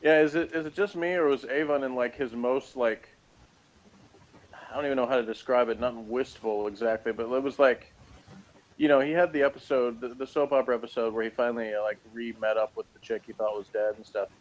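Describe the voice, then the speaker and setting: A man's voice: medium pitch, steady tone, a little fast, poor audio. A man giving a casual review in conversation, blunt and dryly sarcastic, rambling as he talks. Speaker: guest reviewer of a TV episode.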